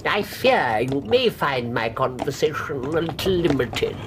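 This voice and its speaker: An actor playing a mild, genteel elderly clergyman with a gentle, courtly manner in a radio drama. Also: a little high, very animated, measured pace, noisy background. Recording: radio drama with music behind.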